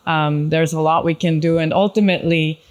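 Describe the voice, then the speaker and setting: A woman from Switzerland speaking as a panel guest, explaining her work in a measured, conversational way. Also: low voice, steady tone. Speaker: panel guest, craft brand founder and designer. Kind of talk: conversational self-introduction in a panel talk.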